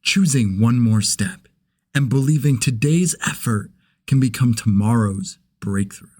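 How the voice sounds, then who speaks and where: A man voicing an inspirational narrator, giving a measured, earnest scripted motivational read. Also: mid-range voice, darkish tone, no room sound, studio quality, natural voice. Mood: solemn, uplifting. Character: inspirational, solemn commercial and trailer narrator.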